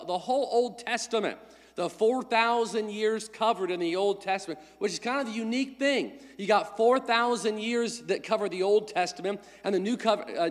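Congested pastor preaching in a reverberant church. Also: male voice, sits high, a bit bright. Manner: friendly and conversational. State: congested, recovering from a cold. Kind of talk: sermon with announcements. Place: church sanctuary. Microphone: pulpit microphone. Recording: long church-hall reverb.

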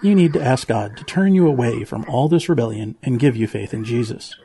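Man playing a creaky-voiced, earnest preachy pig. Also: mid-range voice, expressive, brisk, mellow, a little hiss or hum. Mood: earnest, admonishing. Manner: earnest, admonishing. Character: earnest, preachy male pig with a fussy nervous streak. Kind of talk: children's audio drama acting.

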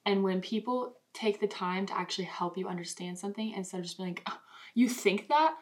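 A young woman with a mid-pitched voice speaks in a casual discussion. She is earnest and informal, explaining her views in loose, conversational phrases. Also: a little fast. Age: young adult. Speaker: podcast participant posing questions.

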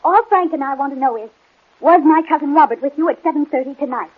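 A woman's voice: high voice, fast talker, lightly acted. A woman playing an insistent, accusing young heiress, speaking earnestly in 1940s radio melodrama style. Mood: firm, accusing. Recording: narrow, tinny old radio broadcast.

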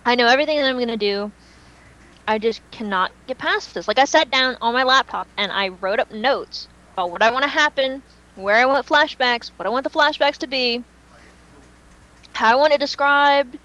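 High-voiced woman, lo-fi casual podcast chat. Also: normal speed, sharp tone. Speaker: podcast co-host and fan fiction writer.

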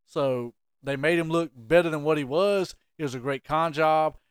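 Chatty man, bright clear voice. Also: fairly high pitch, normal speed, dry recording, studio quality. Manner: animated, jokey, plays the interviewer. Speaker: podcast co-host. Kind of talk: casual sports chat.

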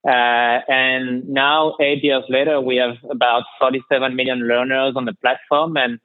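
A man's voice: medium pitch, flat delivery, moderate pace, dry recording. A man in his thirties from France with a French accent, a podcast guest talking hesitantly with many fillers. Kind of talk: remote podcast interview. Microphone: call line. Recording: dry tinny call line.

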